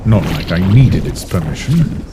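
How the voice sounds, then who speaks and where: A man voicing a weary, brooding ancient being who narrates a comic dub in a solemn, measured way. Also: sits low, very animated, heavy background noise. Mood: pensive, guarded. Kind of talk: scripted first-person comic dub narration. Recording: voice under loud music.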